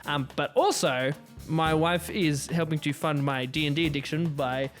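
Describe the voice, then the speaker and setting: A man with a fairly high voice talks casually as a podcast panelist. He is hesitant and stop-and-start, with music clearly playing behind him. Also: moderate pace.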